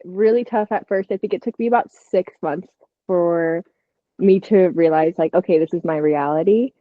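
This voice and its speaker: A woman's voice: on the low side, moderate pace, dry recording, phone-quality sound. A woman in her twenties co-hosting a casual podcast, telling personal stories in a candid way. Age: 20s. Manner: candid, storytelling. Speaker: podcast co-host. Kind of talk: casual chat.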